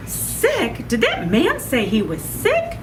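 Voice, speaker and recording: A woman's voice: high voice, wildly swooping pitch, slow pace, very noisy, pitched above the performer's own voice, exaggerated voice. A woman voicing a confident, picky woman doctor in a children's picture-book story. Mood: confident, eager.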